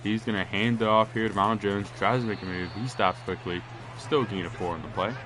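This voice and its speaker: A man with a congested, nasal voice giving casual play-by-play commentary on a football video game, rambling and getting louder on big plays. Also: on the low side, steady tone, fairly quick, over music. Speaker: gaming commentator.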